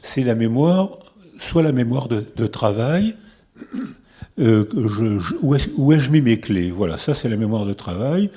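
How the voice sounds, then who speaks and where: Older male lecturer, French accent, husky and dark-toned, loose conversational delivery. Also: mid-range voice, expressive, measured pace. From France. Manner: conversational, with restarts and rhetorical questions. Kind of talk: public lecture to a live audience.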